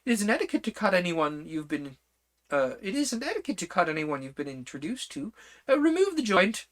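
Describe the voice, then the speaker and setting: A man's voice: animated, moderate pace, a bit bright. A man voicing an imperious, bossy queen who shrieks her orders in a storybook reading. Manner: shrill, commanding, screaming orders.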